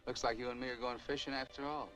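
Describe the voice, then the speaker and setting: A man's voice: mid-range voice, little pitch movement, quick pace, a bit bright, natural voice, poor audio. An actor playing a menacing gunman who taunts and orders his captives in a curt 1950s film noir style. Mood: smug commanding. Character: menacing sneering gunman killer holding two men captive. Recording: old film soundtrack with music behind.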